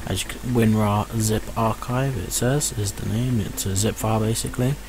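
A man's voice: fairly low pitch, fairly even intonation, normal speed. Man explaining, music underneath. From United Kingdom. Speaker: tech tutorial host. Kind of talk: casual step-by-step screen tutorial.

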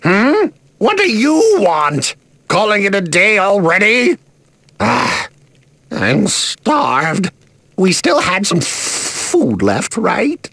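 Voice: medium pitch, wildly swooping pitch, drawn-out words, fairly bright tone, dry recording, full character voice. An actor playing an irascible, pompous expedition master in a game, scolding and stammering when flustered. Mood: grumpy, hungry. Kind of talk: animated game voice acting.

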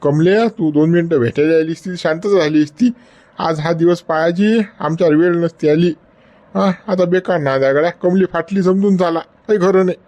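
A man's voice: a little high, moderate pace, a little mellow, lo-fi sound, close to an everyday voice. An actor playing an elderly male friend who coaxes and scolds in a Varhadi Marathi accent. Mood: coaxing. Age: older. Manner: coaxing, chiding with repeated "गड्या".

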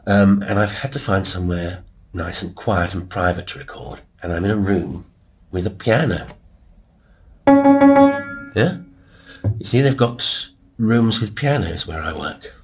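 A British man hosting a solo podcast, talking informally in a rambling, wry, self-deprecating way with a husky voice. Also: low-pitched, unhurried, long pauses, over music. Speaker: horror podcast host and writer. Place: quiet room with a piano at work. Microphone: wireless Bluetooth mic into a phone.

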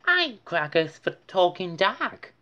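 A woman's voice: fairly low pitch, very animated, slow pace, sharp tone, lo-fi sound, voice pushed up, full character voice. A woman voicing a cute, affectionate talking toy duck from a storybook, sing-song and childlike.

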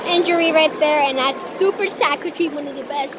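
A woman's voice: high voice, brisk, heavy background noise. A young fan filming a soccer match on a phone, cheering and chatting to her viewers as she calls the play. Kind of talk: excited casual match commentary to camera. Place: outdoor soccer stadium stands. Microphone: handheld phone camera. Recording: loud crowd and music behind. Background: loud cheering crowd and stadium music.

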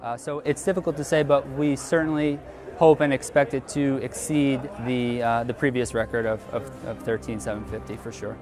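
Male auction specialist, thirties, very flat delivery, halting and measured explanations, faint music underneath. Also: mid-range voice. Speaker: auction house car specialist. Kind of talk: interview explanation. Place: large tent with cars on display.

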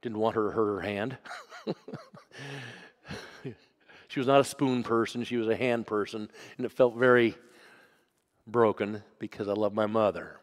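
Storytelling pastor in a reverberant church. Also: male voice, lowish voice, fairly even intonation, normal speed, pauses often. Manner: storytelling, swings from loud to hushed. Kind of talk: sermon. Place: church sanctuary.

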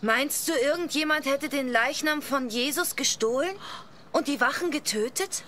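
Anxious biblical woman, high and wondering, radio play with noticeable ambience. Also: normal speed, pushed and bright. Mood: frightened, amazed. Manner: fretful, wondering. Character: anxious, easily frightened biblical woman, follower of Jesus. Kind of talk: German religious audio drama. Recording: clear ambient noise behind.

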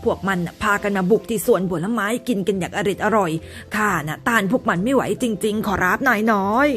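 A meek male gardener servant, as a woman puts him on, answering his master slowly and humbly. A simple storybook servant voice. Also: mid-range voice, fairly bright tone, soft background music, close to an everyday voice. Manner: deferential, drawn-out.

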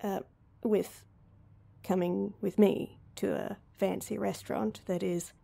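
A woman's voice: mid-range voice, fairly even intonation, slow pace, gravelly, mellow, exaggerated voice. A woman playing an awkward, heartbroken young woman in an intimate roleplay audio drama, speaking hesitantly and with self-deprecation. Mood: tentative, hopeful.

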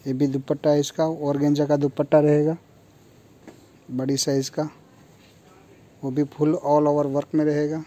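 Young male seller from India, monotone delivery, mellow, slightly high voice, phone recording in a shop. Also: a little slow, pauses often. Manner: flat, matter-of-fact listing of features. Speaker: kurti factory seller. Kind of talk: product showcase walkthrough. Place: clothing store with fabric shelves.

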